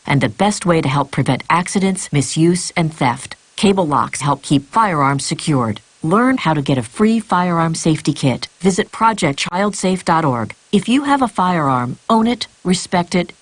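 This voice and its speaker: A woman's voice: lowish voice, husky, no room sound. A woman reading a scripted public service announcement.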